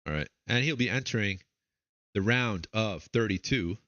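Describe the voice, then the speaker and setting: A man casting a strategy game tournament, chatting with a co-caster and interviewing a player, with frequent drawn-out fillers. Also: fairly low pitch, expressive, fairly bright tone, no echo, dull audio. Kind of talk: live game commentary and interview.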